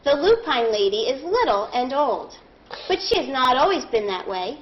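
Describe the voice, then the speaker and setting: A woman leading a read-aloud lesson as a primary teacher, deliberate and instructive, thinking out loud. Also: sits high, big pitch swings. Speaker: primary school teacher reading aloud to a class. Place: classroom. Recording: muffled classroom recording with clear background noise.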